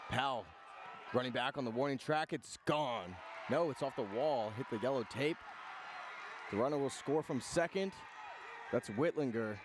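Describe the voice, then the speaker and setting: A man's voice: medium pitch, moderate pace, pauses often, crowd noise, noisy background. A man calling live baseball play-by-play on the radio in clipped phrases, following the action as it unfolds. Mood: excited.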